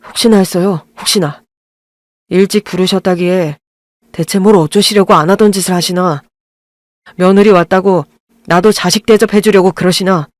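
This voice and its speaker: A woman voicing a young husband who speaks to his mother in a low, cold, resentful way. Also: fairly even intonation, moderate pace, darkish tone, dry recording, studio quality, lightly acted. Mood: bitter. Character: angry young husband defending his wife.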